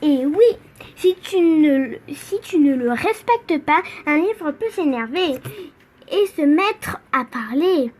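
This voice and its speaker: A young female reader reading a children's book aloud in a halting, playful way, word by word. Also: high-pitched, lively intonation, a little mellow. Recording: faint background noise.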